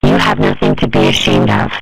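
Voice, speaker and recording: A performer voicing a frank, supportive middle-aged mother in a comic strip cartoon dub, gravelly and matter-of-fact. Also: very deep voice, big pitch swings, a little fast, faint music behind, full character voice, rough recording. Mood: reassuring, frank.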